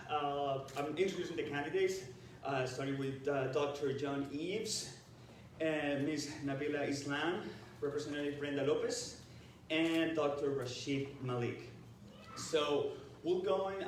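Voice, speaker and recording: Man, Venezuelan accent, Spanish native, halting with restarts, heavy hall echo. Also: medium pitch, slight background noise. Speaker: moderator. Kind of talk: explaining forum rules. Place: large echoey hall. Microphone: distant room mic. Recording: echoey hall, voice far off.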